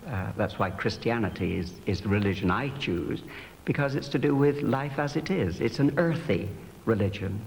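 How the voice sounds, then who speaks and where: A man speaking reflectively as a clergyman interviewed, in a thoughtful, measured and hesitant manner. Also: lowish voice, animated, gravelly, darkish tone. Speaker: clergyman who plays pantomime dame. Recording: echoing hall, noisy old archive audio.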